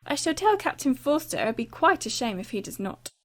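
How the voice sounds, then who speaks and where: Woman playing a high-pitched, eager teenage girl. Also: big pitch swings, brisk. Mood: eager, insistent. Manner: eager, insistent. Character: bold, high-spirited fifteen-year-old girl, self-assured. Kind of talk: dramatized audiobook character reading.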